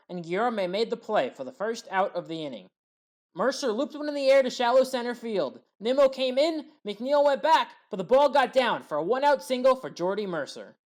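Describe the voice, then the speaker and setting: A woman with a mid-pitched voice gives a scripted baseball highlights recap. Her intonation is highly varied, climbing as the action unfolds and dropping to close out each play. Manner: rises on action, drops at each play's end.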